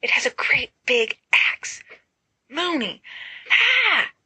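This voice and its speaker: A woman puts on a melodramatic teenage boy wizard for a storybook, bright and dry in sound. He grumbles indignantly, forever complaining in a dramatic way. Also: high voice, very animated, slow pace, dry recording, voice pushed up, heavily acted, rough recording. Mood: alarmed.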